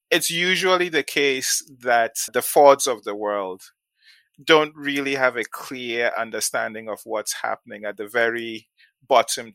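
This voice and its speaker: A man's voice: mid-range voice, creaky, bright, forceful tone, no echo. A man from Ghana in his forties, a venture investor being interviewed, who often repeats words as he starts a thought and laughs lightly. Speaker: guest, venture capital investor. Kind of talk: casual interview talk.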